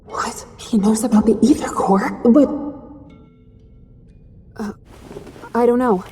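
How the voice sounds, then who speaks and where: An actress playing an earnest, searching young heroine in a sci-fi game, speaking softly and hesitantly with feeling. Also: female voice, on the high side, fairly even intonation, slow pace, slightly rough, exaggerated voice. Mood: uneasy. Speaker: voice actor in a game cutscene. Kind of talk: dramatic game voice acting.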